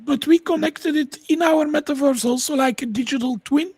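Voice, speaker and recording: A woman's voice: high voice, moderate pace, a little mellow, no room sound. A woman from Belgium with a Flemish accent, enthusiastically explaining projects as an interview guest. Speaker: podcast guest, tech entrepreneur. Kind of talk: conversational pitch of own projects.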